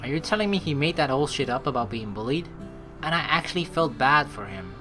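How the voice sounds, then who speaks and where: A young man narrates a video game playthrough and reacts casually as he goes. His delivery is expressive and slangy, with lively swings in pitch. Clear game music sits behind his voice. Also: fairly quick. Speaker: let's play host. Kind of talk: game playthrough, reading dialogue aloud with casual commentary.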